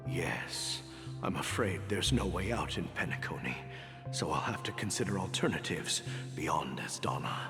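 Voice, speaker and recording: An actor playing a gentle, resolute old space adventurer in a game drama, speaking in a measured, reassuring and sometimes breathy way. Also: male voice, deep voice, very animated. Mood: calm scheming. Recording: long reverb under loud game music.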